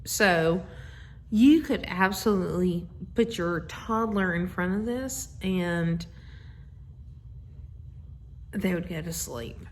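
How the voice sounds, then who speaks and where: A woman's voice: on the low side, slow, long pauses, slight background noise. A middle-aged woman chats casually and effusively into a phone, rambling through asides as she introduces a bedtime story reading. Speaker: host of a family social media video. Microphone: handheld phone.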